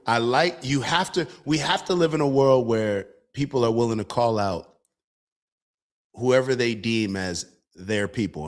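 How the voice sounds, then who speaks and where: A man hosts an online commentary show and talks through his views in a casual, earnest way. He calls his viewers y'all and often stops to restart a sentence. His voice sits at an ordinary middle pitch. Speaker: show host.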